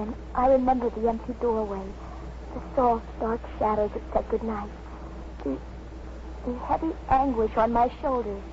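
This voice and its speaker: A woman's voice: fairly high pitch, darkish tone, natural voice. An actress playing a heartbroken young woman, earnest and pleading, in 1950s radio drama style. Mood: mournful reciting. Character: heartbroken young sweetheart pleading with her beloved. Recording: muffled 1950s radio recording, faint noise.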